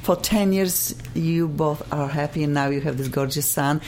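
A woman's voice: sits low, moderate pace, a little mellow, slight background noise. A woman with a Polish accent hosting an interview in a studio, gushing with praise for her guests. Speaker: radio show host. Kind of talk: interview chat.

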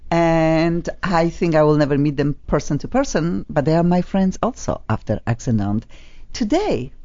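A woman with a Polish accent hosts a talk show from a studio with a low voice that rises and falls widely as she talks. She is enthusiastic and doubles up her words for emphasis. The recording sounds a bit dull and lo-fi. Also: animated, moderate pace, mellow. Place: studio on a top floor.

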